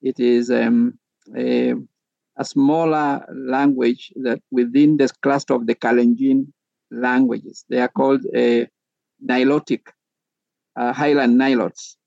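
A middle-aged man from Kenya, a pastor and theology professor, speaking as a podcast guest in a measured, methodical way with frequent pauses. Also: mid-range voice, little pitch movement, gravelly, a little mellow, no echo, lo-fi sound.

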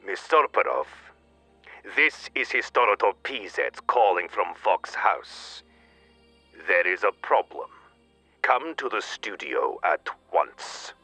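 Casting: actor as cold, formal male bureaucrat for audio drama, lowish voice, swinging emphatic delivery, menacing authority. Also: big pitch swings, takes it slowly, bright, forceful tone, slightly rough, faint music behind, full character voice. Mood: curt urgency. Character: stern, menacing male broadcast official, cold and imperious.

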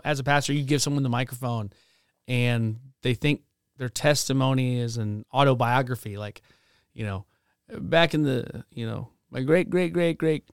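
A man's voice: mid-range voice, smooth, no echo, clean studio sound. A man in his thirties, a pastor guesting on a podcast, playful and joking, laughing often. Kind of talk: casual chat.

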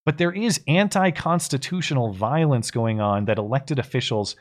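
A man co-hosting a political livestream, reading viewer messages aloud and commenting casually in a measured, halting, conversational way. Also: medium pitch, expressive. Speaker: co-host of a political talk livestream.

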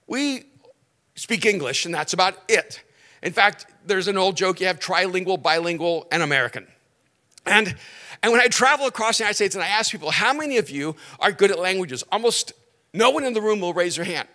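A middle-aged man from El Salvador, a native Spanish speaker, preaching a sermon as an animated storyteller who stretches key words and drops dry jokes. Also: sits high, brisk. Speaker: pastor.

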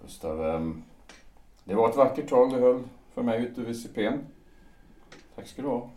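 A man's voice: mid-range voice, expressive, darkish tone, close to an everyday voice. A man playing a wry, world-weary, slightly tipsy man home from abroad in a period audio drama, rambling with irony. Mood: wry, ironic. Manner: rambling, ironic, hesitant. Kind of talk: audio drama acting of a period stage play. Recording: roomy, distant microphone.

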